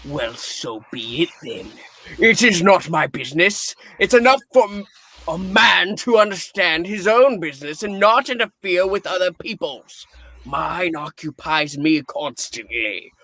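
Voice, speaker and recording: Radio play casting: sour old miser performed by a man, high voice, unhurried, curt, scornful, very dry sound. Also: animated, sharp tone, no echo, exaggerated voice. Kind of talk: theatrical radio play reading.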